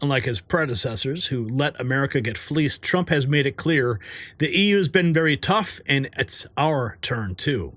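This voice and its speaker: A man's voice: medium pitch, animated, normal speed, a bit bright, no room sound. A man hosting a live news show, chatting casually, reading viewer comments aloud and laughing often.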